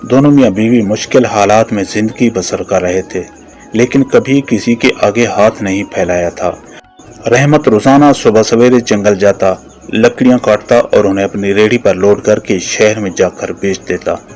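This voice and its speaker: Low-voiced male storyteller. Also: moderate pace, a little mellow, faint music behind. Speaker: narrator. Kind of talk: scripted moral story narration.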